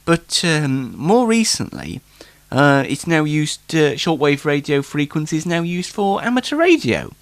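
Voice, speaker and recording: Man, lively intonation, stuffy nose, jokey solo talk show host, self-deprecating, casual, dry close recording. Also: on the high side, a little slow, dry recording. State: congested from hay fever.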